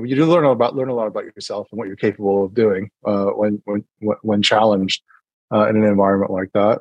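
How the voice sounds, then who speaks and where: A man's voice: lowish voice, a little mellow, slightly rough, dry recording, rough recording. A middle-aged man from New Hampshire, speaking as an interview guest in a casual, hesitant way with many fillers and restarts. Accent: partly British-sounding American. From New Hampshire, USA.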